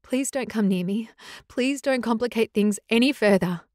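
A woman's voice: a little high, moderate pace, darkish tone, no echo, studio quality, natural voice. A woman voicing a heartbroken young female werewolf who pleads and lashes out in tearful outbursts, in an audiobook. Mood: angry. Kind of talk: audiobook character voice.

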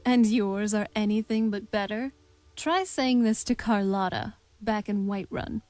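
A woman playing a wistful, shy, dry-witted young vampire woman in halting, intimate video game dialogue. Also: medium pitch, no echo, lightly acted. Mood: teasing. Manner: halting, soft-spoken, hesitant.

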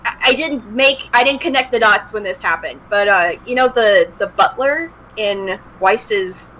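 A woman's voice: mid-range voice, animated, measured pace, pushed and bright. A woman chatting casually as a guest on a podcast, over a video call. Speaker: podcast guest, amateur voice actor. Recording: dull, faintly noisy call audio.

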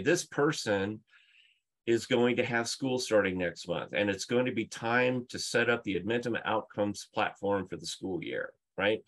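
A man's voice: fairly low pitch, a bit bright. A middle-aged man presenting on a video call, explaining emphatically through stories and rhetorical questions. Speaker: conference speaker, UX leader. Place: book-lined room at home, indoors.

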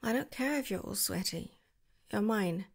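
Woman for an ASMR girlfriend roleplay: affectionate, gushing, slips into whispers, slight vocal fry. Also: mid-range voice, lively intonation, moderate pace.

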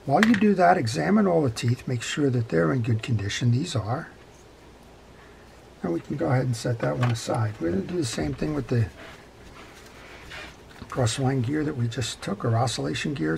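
A man's voice: medium pitch, creaky, darkish tone, a little hiss or hum. A middle-aged man giving an unscripted hands-on repair tutorial in a methodical, plain-spoken way at an indoor workbench. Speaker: fishing reel repair instructor.

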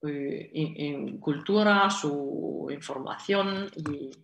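Italian lady in her 40s talking slow with lots of ums, echoey video call sound. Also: low voice, gravelly, a little hiss or hum. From Italy. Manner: slow, halting, many fillers and restarts, gestures with hands. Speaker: guest speaker. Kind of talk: online conference Q&A. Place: indoor room at home.

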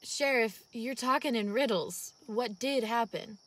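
Young woman scientist, acted by a woman, curious, loyal, polite, higher-pitched, for a radio play. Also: measured pace, a bit bright, dry recording. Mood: polite, puzzled. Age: young adult. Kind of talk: amateur old-time radio drama acting.

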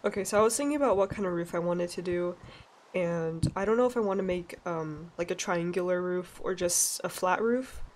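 A young woman from Sweden with a stuffy, nasal voice, chatting casually and laughing as she narrates a relaxed gameplay session. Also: lowish voice, fairly quick. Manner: chatty, rambling, laughs often. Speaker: let's play gamer.

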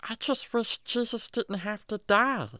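A woman's voice: fairly high pitch, measured pace, pushed and bright, dry recording, clean studio sound. A woman voicing a naive, curious, childlike talking animal in a children's radio drama, with an innocent, earnest manner. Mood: sad, earnest. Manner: innocent, earnest, baby-talk phrasing.

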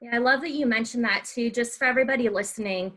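A woman's voice: fairly high pitch, fast, fairly bright tone. A woman in her twenties hosting a podcast, chatting informally and often saying "like" and "y'all". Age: 20s. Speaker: podcast host. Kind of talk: casual chatty interviewing.

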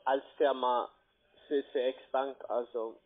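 A man with a foreign accent in German, a non-native speaker talking haltingly in broken grammar as a call centre agent. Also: medium pitch, flat delivery, a crowd in the background. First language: not German. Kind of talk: halting phone sales talk. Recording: narrow tinny phone line.

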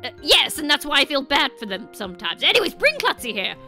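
A woman's voice: high voice, very animated, fast talker, sharp tone, faint music behind, heavily acted. A woman voicing a kindly, fretful male scientist penguin in a cartoon game story, with polite, old-fashioned manners. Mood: helpful, earnest.

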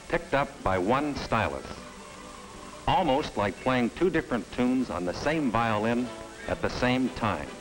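A middle-aged man with a medium-pitched voice narrates a vintage promotional film in a measured, emphatic sales-pitch manner. He is recorded in a studio on an old film soundtrack, with faint music underneath. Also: low-quality recording. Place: studio set.

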